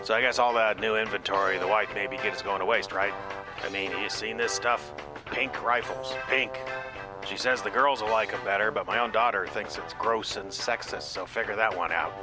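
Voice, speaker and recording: An actor playing a grumpy, resigned bait shop owner who talks in a folksy, deadpan grumble for a comic radio commercial. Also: male voice, lowish voice, moderate pace, natural voice, rough recording. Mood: grumbling, resigned. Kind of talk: comedic radio commercial acting. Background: music.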